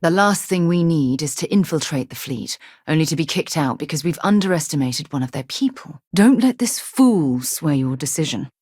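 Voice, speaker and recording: A woman voicing a composed, principled space explorer in a video game, with articulate, measured, formal phrasing and a husky voice. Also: sits low, animated, darkish tone, no echo, studio quality. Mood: firm, reproving. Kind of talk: video game companion voice lines.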